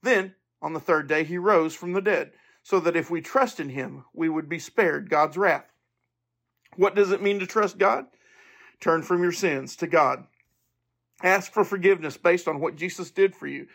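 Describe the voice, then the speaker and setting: A man's voice: on the high side, moderate pace, gravelly, a bit bright. A man hosting a podcast, speaking informally and earnestly in a monologue to listeners. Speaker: podcast host.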